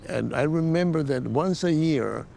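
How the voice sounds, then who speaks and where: Older Mexican-born man, creaky voice, reminiscing. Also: measured pace, crowd noise. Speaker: interview guest, former soccer player. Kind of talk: casual reminiscence. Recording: faint rumbling background noise.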